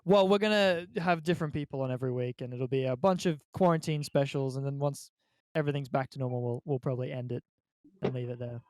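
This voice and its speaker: A man's voice: medium pitch, fast, a little mellow, dry recording. A young man with an Australian accent hosting a casual group podcast chat. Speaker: podcast host.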